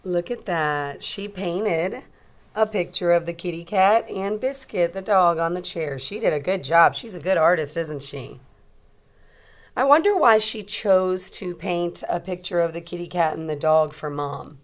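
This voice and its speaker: Low-pitched woman teacher reading to children. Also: expressive, unhurried. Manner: simple explanations with questions to young children. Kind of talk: children's read-aloud lesson.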